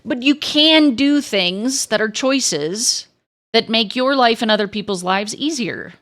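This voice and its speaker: A young woman with a crackly, lower voice, chatting frankly with stream viewers and swearing freely. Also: expressive, unhurried, fairly bright tone. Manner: frank, swears a lot, many restarts. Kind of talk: casual chat to stream viewers.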